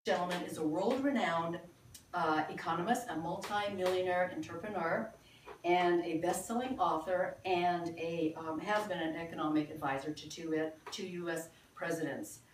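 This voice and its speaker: A woman in her sixties from the Philippines, giving an earnest, halting presentation to a small group with many fillers. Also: lowish voice, slight background noise. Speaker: presenter at a sales meeting. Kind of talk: informal presentation. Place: meeting room. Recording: echoey room from far off.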